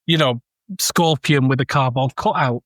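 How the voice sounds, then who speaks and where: A man's voice: mid-range voice, normal speed, a bit bright, dry recording. Man chatting casually, very dry sound. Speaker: podcast co-host. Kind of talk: casual critical film chat.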